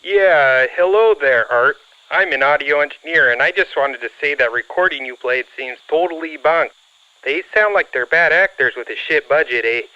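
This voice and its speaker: An actor playing a smug, folksy, faintly menacing heckler, calling a radio show. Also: male voice, fairly high pitch, moderate pace, sharp tone, clean tone. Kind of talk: acted radio call-in. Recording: muffled caller line.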